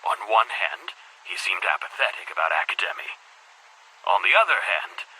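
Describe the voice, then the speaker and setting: An actor playing a weary, embittered middle-aged school headmaster in a video game monologue, speaking in a formal, measured, brooding way with a husky voice. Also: male voice, bright, forceful tone, heavily acted, rough recording. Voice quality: husky, breathy low voice.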